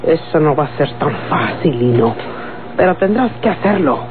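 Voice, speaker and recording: A woman's voice: sits low, animated, moderate pace. A woman playing a scheming, domineering young Mexican villainess in a radionovela, scolding and commanding with dramatic delivery. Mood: cold, scheming. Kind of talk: Mexican golden-age radionovela acting. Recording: tinny old radio with clear hum.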